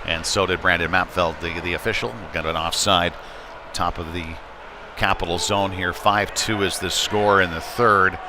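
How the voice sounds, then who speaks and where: Man calling hockey over clear rink noise. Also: low voice, fairly even intonation, normal speed. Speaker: play-by-play hockey commentator. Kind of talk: live amateur hockey play-by-play commentary. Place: indoor ice rink during a live game. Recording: noisy rink ambience behind voice. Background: clear rink and game noise.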